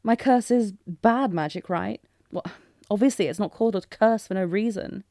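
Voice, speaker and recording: A woman's voice: mid-range voice, moderate pace, warm tone, no room sound, studio quality, close to an everyday voice. A woman playing a refined, earnest young fantasy princess in a romantic audio roleplay, speaking with theatrical exclamations and genteel, old-fashioned phrasing. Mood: explaining, curious.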